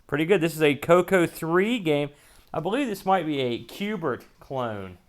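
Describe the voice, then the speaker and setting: A man's voice: on the high side, expressive, a little slow. A man hosting an unboxing show, giving casual, off-the-cuff commentary in a folksy, colloquial way as he thinks aloud. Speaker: host of a retro computer unboxing show.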